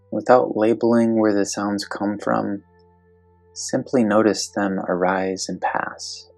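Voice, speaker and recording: A meditation guide with a very low voice and a noticeable creak speaks in a slow, gentle, instructional way. The delivery is that of a guided meditation, with soft music and a singing bowl behind the voice.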